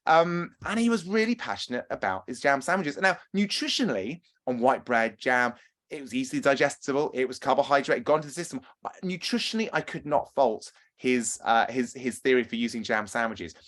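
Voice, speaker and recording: A man's voice: medium pitch, expressive, fairly quick, a bit bright. Male running coach on a video call, chatty and upbeat, quizzing the group. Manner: chatty, upbeat, asks audience questions. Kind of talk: webinar talk and Q&A.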